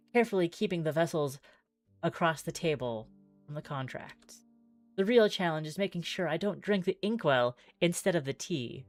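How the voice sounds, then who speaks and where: Low-voiced woman reading aloud, roomy echo. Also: a little mellow. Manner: theatrical, wry, exclaiming asides. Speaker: streamer playing a visual novel. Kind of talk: reading game text aloud with joking asides.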